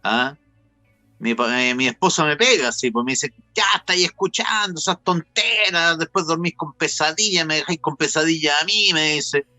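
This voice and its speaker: Chilean male host, bright forceful voice, very dry close sound, colloquial interview chat. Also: on the high side, moderate pace, no room sound, soft background music. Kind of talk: casual chatty interviewing.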